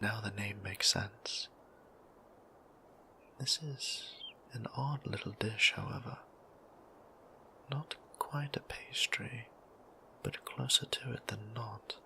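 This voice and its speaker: A man playing a gentle, lonely and easily flustered vampire priest in an intimate roleplay audio, speaking softly and breathily with hesitant, politely old-fashioned phrasing. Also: medium pitch, full character voice, poor audio. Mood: curious, gently doting. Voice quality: soft, breathy near-whisper.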